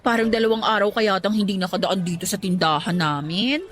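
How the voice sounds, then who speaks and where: An actress playing a bubbly, lovestruck young female student in a radio drama, animated and quick to defend herself. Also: medium pitch, natural voice. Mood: sweet.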